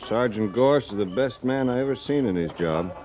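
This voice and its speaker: A man's voice: mid-range voice, expressive, moderate pace, darkish tone, lightly acted. A man acting an earnest, deferential, slightly naive junior cavalry officer in a 1950s radio western, polite and tentative. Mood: reflective, curious. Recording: vintage radio drama, music behind.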